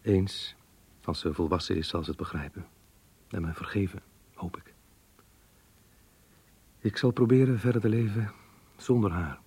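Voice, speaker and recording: An actor playing a remorseful middle-aged man reading out his diary confession in a radio play, grave and measured. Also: darkish tone, dry recording. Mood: remorseful, resigned. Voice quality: low, husky. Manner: grave, measured, literary diction. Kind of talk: Dutch radio play monologue.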